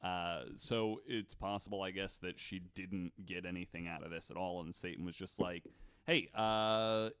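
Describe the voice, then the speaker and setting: A man's voice: low voice, steady tone, moderate pace, a bit bright, clear, resonant tone, dry recording. A man hosting a podcast, casually retelling stories with wry joking asides. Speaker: podcast host.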